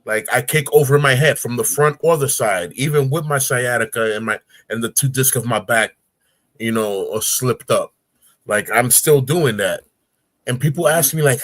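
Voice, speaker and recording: A man's voice: medium pitch, a bit bright, no room sound. A man in his forties, a guest on a casual talk show, telling stories with energy and acting out grunts and voices. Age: 40s.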